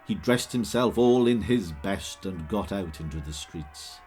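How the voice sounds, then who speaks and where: A man giving measured, scripted dramatic narration as the storyteller of a Victorian Christmas tale. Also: deep voice, expressive, a little mellow, soft background music. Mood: measured, fond.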